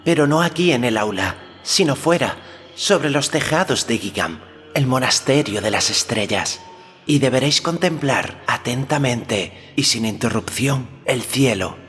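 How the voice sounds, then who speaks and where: A man's voice: mid-range voice, expressive, measured pace, fairly bright tone, in a large hall, soft background music, pitched above the performer's own voice. A man voicing a self-assured young boy hero who declaims solemnly, as in an audiobook. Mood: solemn, commanding. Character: young boy hero, sure of himself, hailed as great sage.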